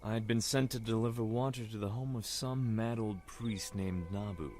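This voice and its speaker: A man's voice: on the low side, normal speed, darkish tone, slight background noise, natural voice. A man acting a wry, reflective Egyptian prince who narrates his past in an audio drama. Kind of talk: scripted first-person narration. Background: music.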